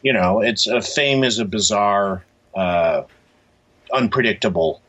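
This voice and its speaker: A man's voice: lowish voice, measured pace, fairly bright tone, highs cut off. A middle-aged man being interviewed, reflecting thoughtfully and hesitantly, picking emphatic words. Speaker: interview guest, author.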